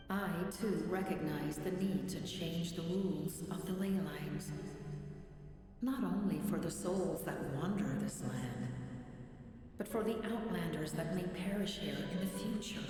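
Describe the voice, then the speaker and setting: A woman voicing a calm, solemn ancient ruler of souls in a video game, soft and measured, with heavy reverb. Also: lowish voice. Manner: measured, formal, explanatory. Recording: long cavernous reverb, music behind.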